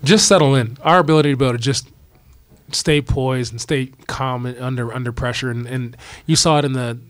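A man in his forties, a basketball coach answering postgame press questions at a table mic, talking in a measured way with many restarts. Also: mid-range voice, dry recording. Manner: repetitive restarts, steady and measured. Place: press conference room, seated at table. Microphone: table mic on stand.

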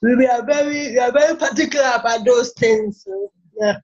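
A Ghanaian woman in her forties, a software engineer talking about herself haltingly, with many repeated words, on a video call from a small office. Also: a little high. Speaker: interview guest, software engineer. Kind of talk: casual interview talk. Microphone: laptop webcam on a video call. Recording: muffled video call audio.

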